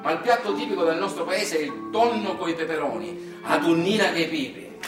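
A man's voice: fairly high pitch, expressive, normal speed, over music, natural voice. Man acting a folksy Sicilian storyteller, roomy and distant. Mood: instructive, animated. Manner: colloquial, repetitive, emphatic. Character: folksy, garrulous Sicilian man explaining recipes to his son. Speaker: stage actor in a monologue. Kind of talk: theatrical storytelling monologue in dialect-laced colloquial speech. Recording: roomy, distant stage recording with faint noise.

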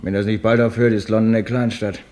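An actor playing an earnest, slightly obtuse London detective, matter-of-fact and deadpan, in a 1950s German radio play. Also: male voice, on the low side, fairly quick, natural voice. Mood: annoyed, earnest.

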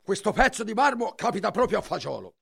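A woman acting a fussy, scolding marble cutter and exasperated father in a theatrical radio play, with a creaky, strained voice. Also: medium pitch, big pitch swings, fast talker, a bit bright, faint music behind, heavily acted. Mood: puzzled.

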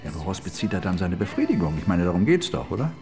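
A man's voice: deep voice, very animated, quick pace, a little mellow, soft background music. A man playing a detective's inquisitive, teasing confidant in a radio crime drama, probing and playful. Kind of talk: naturalistic German radio drama dialogue.

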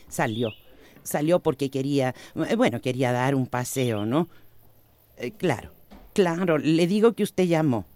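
Feisty, swooping-voiced Central American housekeeper. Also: female voice, rumbling low, a little mellow, slight background noise, full character voice. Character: feisty, patient, bickering older housekeeper, devoted and long-suffering. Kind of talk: radio drama acting.

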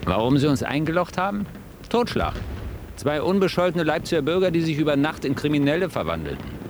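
A man's voice: fairly high pitch, very animated, fairly quick, noisy background. An older man, an actor playing an aging writer who reminisces in a wistful, ironic and literary way in a radio play. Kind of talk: radio play narration and dialogue.

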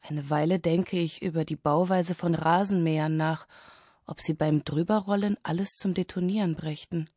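Woman reading from a book, soft dark tone, lowish, reflective, dry studio. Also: steady tone, moderate pace, slightly rough, dry recording. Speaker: reader of literary excerpt. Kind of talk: literary reading.

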